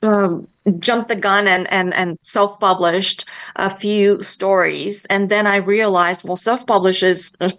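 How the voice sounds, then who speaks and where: A woman's voice: mid-range voice, fairly even intonation, measured pace, fairly bright tone. A woman for whom English is not her first language, answering interview questions about writing in a hesitant way with many fillers and restarts. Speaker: children's book author, guest.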